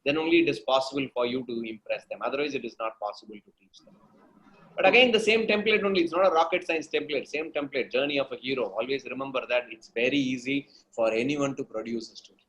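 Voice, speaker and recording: A man's voice: mid-range voice, animated, quick pace, fairly bright tone, low-quality recording. A man with an Indian accent giving conversational answers as an interview guest, teaching emphatically, repeating phrases and checking in with the listener. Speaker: guest speaker, storytelling coach.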